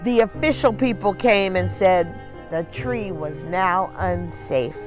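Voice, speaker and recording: A woman storyteller reads a picture book aloud to children, expressively, in deliberate phrases with dramatic pauses. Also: on the low side, faint music behind.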